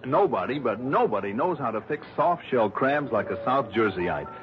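An actor playing a wry, wisecracking, self-assured insurance investigator in a 1960s radio detective drama. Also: male voice, medium pitch, lively intonation, normal speed, faint music behind, natural voice. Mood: breezy, teasing. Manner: wisecracking, breezy. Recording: dull vintage radio broadcast recording.

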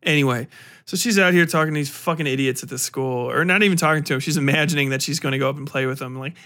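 A man with a fairly high voice talks like a podcast host in the middle of a casual rant. He is sarcastic and swears freely, retelling things in an animated way. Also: quick pace. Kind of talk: casual ranting review.

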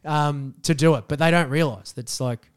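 Raspy sweary Aussie guy, higher voice. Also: normal speed. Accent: Australian. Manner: sweary, laughing, tells stories with acted quotes. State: raspy voice after being sick. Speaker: podcast co-host. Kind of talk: casual banter.

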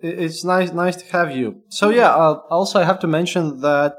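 A man hosting a casual podcast interview, animated and full of restarts. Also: on the high side, normal speed. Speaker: podcast host.

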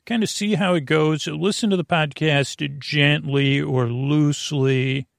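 A man hosting a bedtime podcast in a meandering, halting, self-deprecating monologue with whimsical asides. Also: fairly high pitch, fairly even intonation, creaky, dry recording, clean studio sound. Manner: whimsical, acting out little imaginary exchanges. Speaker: podcast host.

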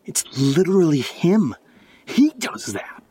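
An actor playing a wary, exasperated and sarcastic male housemate with a husky voice, in naturalistic audio drama dialogue, hesitant and given to swearing. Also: on the high side, big pitch swings, slow pace, mellow, full character voice. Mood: frantic, exasperated. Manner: hesitant, sardonic, profane.

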